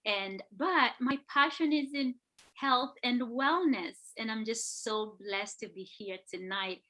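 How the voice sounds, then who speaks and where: A woman in her fifties, a nurse educator, introducing herself on a panel call in a warm, upbeat way. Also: a little high, takes it slowly, rough recording. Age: 50s. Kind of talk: friendly self-introduction.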